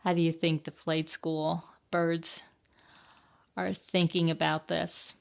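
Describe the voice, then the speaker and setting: Female storytime reader, slightly low register, very leisurely pace, expressive, puts questions to young listeners. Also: vocal fry. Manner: expressive, pauses to ask the children questions. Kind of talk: reading a picture book aloud to young children.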